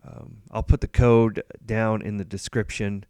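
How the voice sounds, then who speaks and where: A man hosting a casual screen-recorded coding tutorial, relaxed and rambling with frequent ums and uhs. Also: fairly low pitch, unhurried, smooth, no echo. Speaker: host.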